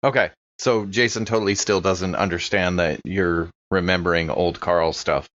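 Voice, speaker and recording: A man's voice: sits low, steady tone, moderate pace, fairly bright tone. A man playing in a tabletop audio drama, talking and narrating casually. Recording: dull, lo-fi microphone.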